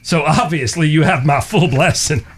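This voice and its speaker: An actor in a western audio drama playing a teasing younger brother, an Old West cowboy with a folksy, mischievous way of talking. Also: male voice, on the high side, normal speed, slightly rough. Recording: background music.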